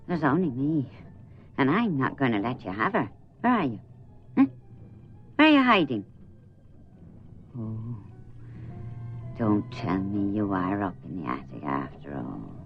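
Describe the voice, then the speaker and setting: Swooping, defiant housekeeper. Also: female voice, a bass voice, full character voice. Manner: dramatic, pointed. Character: defiant, devout older housekeeper, protective and superstitious. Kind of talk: radio drama acting. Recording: dull radio recording with loud music.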